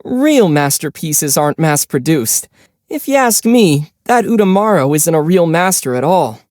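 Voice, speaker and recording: A man voicing a calm young adventurer in a video game, easygoing and measured, with a gently wry, teasing manner. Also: a little high, no echo, studio quality. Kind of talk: video game character dialogue.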